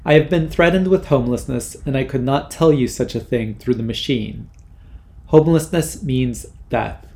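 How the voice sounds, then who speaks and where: A man's voice: mid-range voice, moderate pace, vocal fry, lightly acted. A man reading an earnest, rebellious son. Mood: grave. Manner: earnest, forceful, declaiming.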